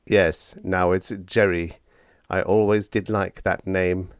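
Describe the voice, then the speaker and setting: A man voicing a polite, solemn, smug android rebel in an audiobook, speaking in a measured way. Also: deep voice, level tone, smooth, no room sound, clean studio sound. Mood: calm, smug. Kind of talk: audiobook character dialogue.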